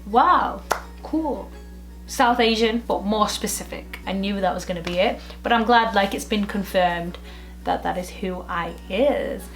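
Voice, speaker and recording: A young woman, talking through a casual vlog in a chatty, expressive way and dropping in lots of "like". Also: mid-range voice, a little slow, faint music behind, poor audio. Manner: chatty and expressive, with filler likes. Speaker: vlogger.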